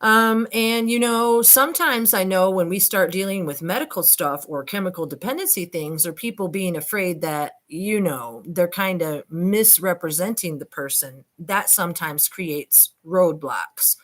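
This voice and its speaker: A woman's voice: fairly low pitch, unhurried, few pauses, a bit bright. A woman reading a transcript aloud and commenting informally, addressing listeners directly with skeptical asides. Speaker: online commentator and host.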